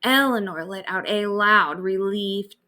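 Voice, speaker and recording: A woman reading a bedtime story aloud as an expressive storyteller. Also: mid-range voice, measured pace.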